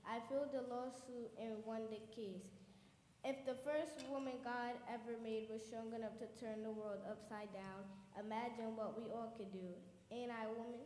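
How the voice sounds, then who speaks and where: A woman's voice: a little high, steady tone, moderate pace, a little mellow, natural voice. A young woman playing a determined 1800s abolitionist woman. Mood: earnest. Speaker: youth performer in a church skit. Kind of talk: stage acting in a church program. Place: church hall. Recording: echoey hall with long reverb, faint noise.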